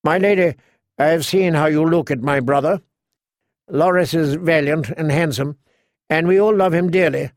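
A gallant, good-humoured young knight as a male narrator performs him, courteous and earnest in a storybook tale. His voice sits high and is recorded dry and close. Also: moderate pace, no room sound, lightly acted. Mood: gently concerned. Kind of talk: audiobook character voice.

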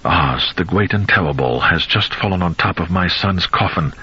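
A numb, dazed father murmuring his inner thoughts, as a male narrator reads them in a deep, husky voice. The delivery is flat with shock. The recording sounds dull and lo-fi. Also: moderate pace, heavily acted. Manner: dazed, inward musing. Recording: dull lo-fi audio.

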